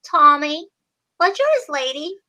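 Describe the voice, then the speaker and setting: Radio play small boy, played by a woman: friendly, childlike, bright and high-pitched. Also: sing-song, slow, exaggerated voice. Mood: innocent, friendly. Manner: childlike, simple short answers. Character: innocent small boy, friendly and plain-spoken. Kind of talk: old-time radio play acting.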